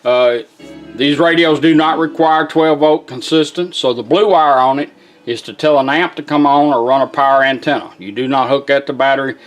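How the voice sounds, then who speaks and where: A man's voice: mid-range voice, fairly even intonation, normal speed, faint music behind. A man giving a casual hands-on product demonstration in informal, folksy phrasing. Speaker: product demonstrator, car radio builder.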